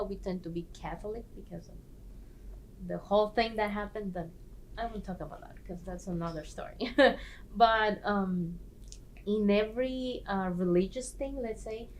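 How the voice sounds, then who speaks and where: A woman's voice: mid-range voice, a little hiss or hum, low-quality recording. Woman hosting a chat. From Guatemala. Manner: animated, laughs often, searches for words. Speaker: host. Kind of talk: casual discussion.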